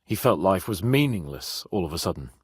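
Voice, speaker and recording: Casting: a young nobleman in a medieval game, lordly and loyal, lively intonation, rough-edged voice. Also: low voice, fairly quick, no echo, studio quality, full character voice. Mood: earnest, wistful. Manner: expressive, lordly, exclamatory. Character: spirited young nobleman in medieval Bohemia, haughty yet loyal. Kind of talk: dramatic video game voice acting.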